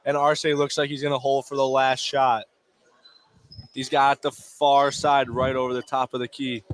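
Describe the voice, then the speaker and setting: A man doing live play-by-play radio commentary of a high school basketball game in short, clipped phrases. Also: level tone, moderate pace, a little hiss or hum. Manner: clipped phrases calling action as it unfolds. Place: indoor basketball gym, courtside.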